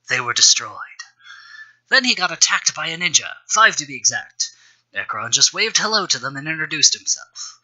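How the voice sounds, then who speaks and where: A man with a very bright, sharp-edged voice at a medium pitch reads a story aloud as its host. He narrates in a storytelling style and steps out of it for wry, self-mocking remarks about the writing. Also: rough recording. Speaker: host reading his own old fan fiction.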